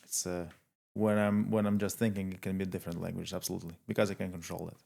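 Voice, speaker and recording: A man from Latvia whose first language is Russian, a coach speaking as a podcast guest and giving brief, measured answers. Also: low voice, little pitch movement, vocal fry, a little mellow. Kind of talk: podcast interview.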